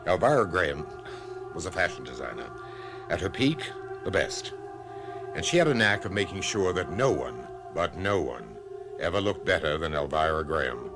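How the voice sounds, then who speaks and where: An actor in a radio drama playing a suave society escort who speaks in a grave, deliberate manner. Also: male voice, deep voice. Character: sombre, suave male escort of an older socialite. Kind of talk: golden-age style radio drama acting.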